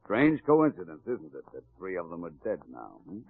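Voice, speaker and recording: Muffled old radio, a deep smug ex-con killer, sly and slangy. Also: male voice, very animated, normal speed, no echo, heavily acted. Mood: coolly smug. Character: smug taunting male ex-convict killer. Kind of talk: old-time radio crime drama acting. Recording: muffled vintage radio recording.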